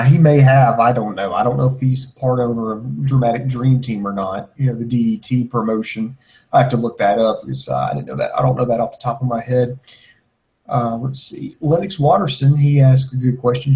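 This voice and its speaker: A man with a mid-pitched voice co-hosts a wrestling podcast. He chats casually through listener questions and news, with a blunt and joking way of talking. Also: a little mellow, rough recording. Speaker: podcast co-host.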